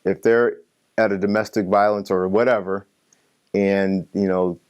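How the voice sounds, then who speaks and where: A man's voice: sits low, flat delivery, unhurried, a little mellow, clear, resonant tone. A man talking reflectively in an interview, earnest, repeating himself for emphasis.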